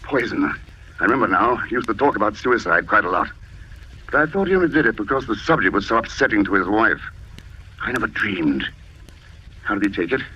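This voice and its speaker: A man's voice: on the low side, expressive, brisk, pauses often, pushed and bright. An actor playing a cool, calculating man in an old-time radio drama, speaking in an articulate, self-assured way. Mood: stunned. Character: cool, calculating detective writer, a vengeful father. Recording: noisy, dull old radio broadcast recording.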